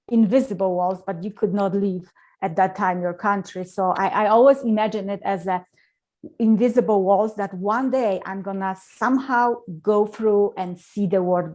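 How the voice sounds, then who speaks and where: A woman from Poland with a Polish accent, a talk show guest telling her life story in an effusive, animated way. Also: medium pitch. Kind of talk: interview storytelling.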